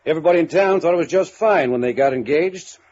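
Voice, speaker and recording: Husky, folksy man acting a small-town police chief. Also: on the high side, fast talker, darkish tone, close to an everyday voice. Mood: relaxed, informative. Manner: laconic, folksy drawling idiom. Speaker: radio drama supporting actor. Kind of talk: 1950s radio drama acting. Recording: muffled vintage radio recording.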